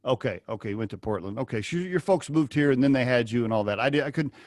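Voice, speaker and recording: A man's voice: medium pitch, expressive, fast talker, darkish tone, no echo. A man hosting a podcast interview over a video call, chatty and asking rambling questions. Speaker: podcast host. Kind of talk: casual interview.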